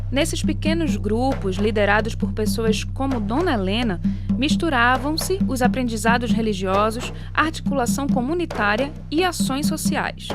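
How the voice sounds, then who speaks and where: Female documentary narrator, higher-pitched voice, scripted read with a clear music bed. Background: music under the voice.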